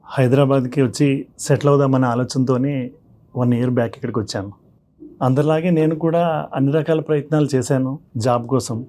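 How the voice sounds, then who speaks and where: A man's voice: mid-range voice, fairly even intonation, quick pace, dark tone, a little hiss or hum. A man from Visakhapatnam giving an earnest, respectful personal account as a guest on a talk show. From Visakhapatnam, India. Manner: respectful, frequently addressing hosts as sir or madam. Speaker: guest telling his problem on a counselling show.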